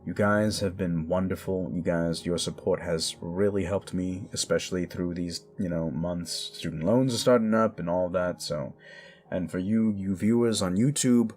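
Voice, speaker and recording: A man's voice: deep voice, moderate pace, a little mellow, a little hiss or hum. A man giving a chatty, upbeat, casual update to listeners as an audio story creator, with music behind him.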